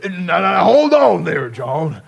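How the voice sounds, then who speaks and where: Gravelly, high-pitched sheriff. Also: male voice, very animated, highs cut off, heavily acted. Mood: flustered, reluctant. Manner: folksy, halting, speechifying. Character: blustering, hesitant small-town western sheriff rallying a posse. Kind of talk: western audio drama acting.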